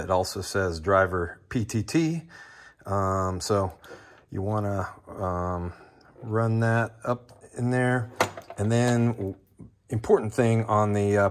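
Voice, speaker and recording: A man presenting a casual, unscripted installation walkthrough, talking haltingly as he works with his hands. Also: fairly low pitch. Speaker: how-to video presenter.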